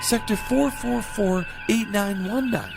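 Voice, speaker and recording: A man acting as a precise bridge officer with a high voice, over clear background music. The delivery is formal and deferential, suited to a space radio play. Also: takes it slowly, exaggerated voice. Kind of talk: sci-fi audio drama acting.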